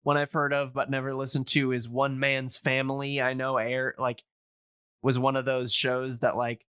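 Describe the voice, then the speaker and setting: A man's voice: medium pitch, little pitch movement, normal speed, sharp tone, clean tone, no echo, studio quality. A man hosting a podcast, explaining things casually in a rambling, hesitant but enthusiastic way. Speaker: podcast host.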